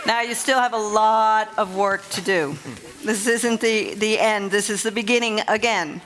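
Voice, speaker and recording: A woman's voice: medium pitch, fairly even intonation, measured pace. A woman giving informal, encouraging instructions to a group as a competition official. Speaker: competition official addressing finalists. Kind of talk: spoken address to a group, informal. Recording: live hall with crowd noise.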